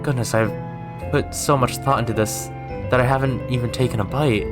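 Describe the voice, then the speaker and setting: A man's voice: mid-range voice, fairly even intonation, brisk, music in the background. A man reading a visual novel aloud as a gaming YouTuber, sometimes stepping in with casual asides.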